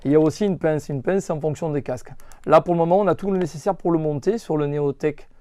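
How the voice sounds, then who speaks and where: Mellow, higher-voiced man giving a hands-on tutorial. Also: fast. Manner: instructive, emphatic, repeats reminders of what matters. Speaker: motorcycle accessory shop presenter. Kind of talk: hands-on product tutorial, unscripted.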